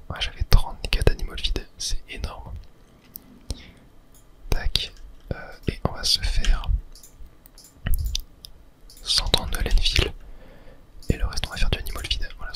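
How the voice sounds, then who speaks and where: A man from whispers casual ASMR gaming commentary in a breathy, unvoiced voice, murmuring his thoughts aloud. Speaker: ASMR gaming video host.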